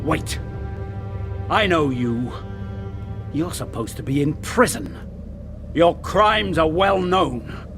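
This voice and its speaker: Gravelly, scornful soldier captain. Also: male voice, on the high side, animated, slow pace, long pauses, over music. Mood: hostile, scornful. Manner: commanding, scornful. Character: hostile, contemptuous male soldier captain. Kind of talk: fantasy video game acting.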